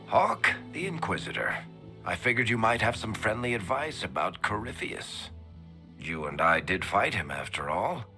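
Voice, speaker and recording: An evasive dwarf companion who stammers and hedges. His voice is bright and bouncy, rising and falling a lot. Faint game music plays behind. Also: fairly low pitch, big pitch swings, moderate pace, exaggerated voice. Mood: wry. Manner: hesitant, stammering, wry. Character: wry male dwarf storyteller, easygoing and evasive. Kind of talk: video game dialogue acting.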